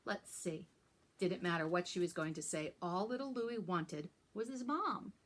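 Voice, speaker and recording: A woman hosting a children's storytime as a librarian, reading aloud and talking to young listeners in simple, deliberate phrases. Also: fairly low pitch.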